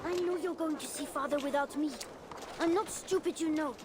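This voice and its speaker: A woman's voice: high-pitched, moderate pace, lightly acted. A woman playing an eager, cheeky young boy in video game dialogue. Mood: knowing, cheeky. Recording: clear ambient noise behind.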